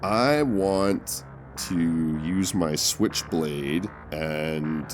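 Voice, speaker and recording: A man's voice: sits low, extremely slow, faint music behind. A man playing a tabletop game, bantering casually in a sweary, exclamatory way.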